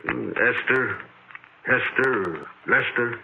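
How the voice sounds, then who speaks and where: An actor playing a chatty, absent-minded bartender in a 1940s radio drama, rambling in offhand slang. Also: male voice, medium pitch, slow, creaky. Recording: tinny, hissy 1940s radio recording.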